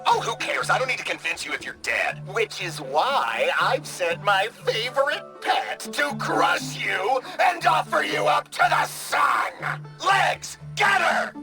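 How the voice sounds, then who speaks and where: A man playing a gloating, blustering cartoonish cult villain in an animated video game, theatrical and easily flustered. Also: very high-pitched, a little slow, creaky, hardly any pauses, over music, exaggerated voice.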